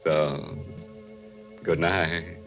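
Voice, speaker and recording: An actor playing a gleeful, ghoulish horror host with sardonic, sinister delivery in 1940s radio style. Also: male voice, extremely low pitch, flat delivery, drawn-out words, creaky, muffled recording, full character voice. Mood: sinister playfulness. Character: ghoulish, gleeful male horror host cracking macabre puns. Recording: vintage radio broadcast with music.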